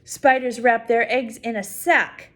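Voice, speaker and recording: An older woman reading a picture book aloud to small children, full of wonder, pausing to explain things simply and add asides. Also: fairly high pitch, steady tone, a bit bright. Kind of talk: read-aloud story time with spontaneous asides to a child.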